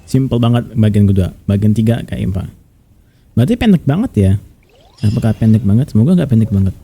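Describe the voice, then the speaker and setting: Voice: mid-range voice, animated, quick pace, dark tone, slightly rough. A game streamer with an Indonesian accent giving casual gameplay commentary.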